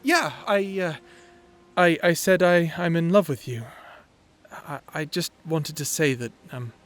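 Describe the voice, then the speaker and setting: A man's voice: a little high, a little slow, fairly bright tone. A man playing a shy, flustered young man in love, stammering and hesitant in a dramatic fantasy audio roleplay. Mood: nervous, confessing.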